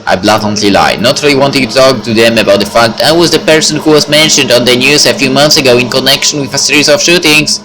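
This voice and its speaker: A man's voice: mid-range voice, fast, few pauses, low-quality recording. A man reading a visual novel aloud as narrator, with casual asides. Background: background music.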